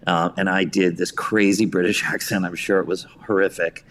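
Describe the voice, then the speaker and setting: A middle-aged American man from New Jersey tells anecdotes as an interview guest in a self-deprecating, halting way, acting out quotes. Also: sits low, level tone, fairly bright tone, a little hiss or hum. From New Jersey, United States. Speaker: podcast guest, theater director and writer.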